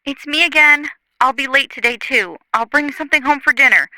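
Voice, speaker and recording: A noisy answering-machine message from a busy mother, acted by a woman. The voice is high, affectionate and everyday. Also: brisk. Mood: hurried, caring. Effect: answering machine playback.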